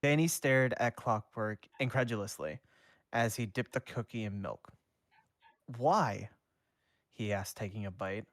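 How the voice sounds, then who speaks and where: A man streaming a reading of fan fiction aloud, with a steady read that has small slips and restarts. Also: lowish voice, moderate pace, no room sound, studio quality. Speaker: fanfic reader and streamer.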